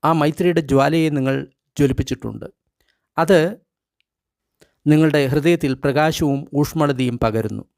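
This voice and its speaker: A man leads a guided meditation in a slightly high voice. He reads measured instructions in short phrases, leaving pauses between them. The sound is dry and close. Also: creaky, a little mellow, no room sound. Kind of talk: guided meditation, read from a translated script.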